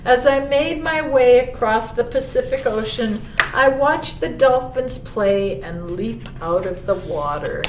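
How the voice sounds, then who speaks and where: Woman reading as a slow, gentle old butterfly. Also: animated. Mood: wondering. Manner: unhurried, wondering storytelling to a child. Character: gentle old male monarch butterfly, wise traveled storyteller. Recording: roomy, distant mic, faint rumbling noise.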